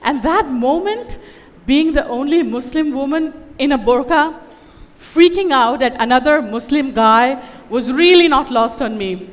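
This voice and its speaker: A woman's voice: sits high, animated, measured pace, big-room sound. A woman who grew up in Dubai, giving a witty, conversational personal talk on a conference stage with wry asides. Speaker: conference speaker. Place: conference stage before an audience.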